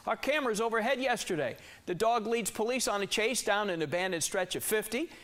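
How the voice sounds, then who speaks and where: A man's voice: sits high, a bit bright. An American man reading scripted TV news as an anchor, with emphatic delivery. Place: TV news studio.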